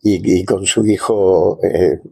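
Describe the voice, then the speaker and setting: An Argentine-accented man telling personal stories casually as an interview guest, pausing to find words and adding little check-in tags. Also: low voice, fairly even intonation, gravelly, warm tone, breathy edge.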